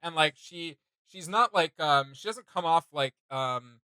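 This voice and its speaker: A man's voice: on the high side, lively intonation, measured pace, pushed and bright, clean tone, no room sound. A man hosting a casual reaction video, chatting in an enthusiastic, rambling and slangy way.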